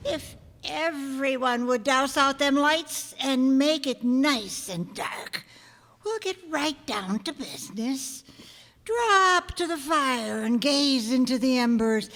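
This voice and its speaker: Ancient, slow-talking cackling witch. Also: high voice, lively intonation, a bit bright, exaggerated voice. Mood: eerie, beckoning. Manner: cackling, slow, theatrical, folksy archaic speech. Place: live stage before an audience. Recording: live hall with long reverb.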